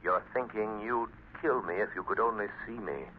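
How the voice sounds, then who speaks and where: An actor playing the Shadow, a commanding unseen crime-fighter, speaking slowly and menacingly in a 1930s radio drama. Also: male voice, on the low side, natural voice. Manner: slow, measured, menacing. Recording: muffled old radio recording with faint hiss.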